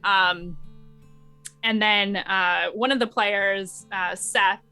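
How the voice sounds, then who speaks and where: A woman's voice: medium pitch, steady tone, drawn-out words, pushed and bright, clear, resonant tone, soft background music, poor audio. A woman speaking as an interview guest and game master, explaining things in casual chat.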